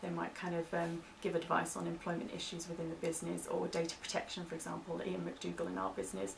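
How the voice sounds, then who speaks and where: British woman explaining stuff in an interview, informal and hedgy, creaky flat voice, bit far from the mic w faint noise. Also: on the low side, quick pace. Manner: hedging, informal explanations with "kind of". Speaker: interviewee explaining legal terms for staff training.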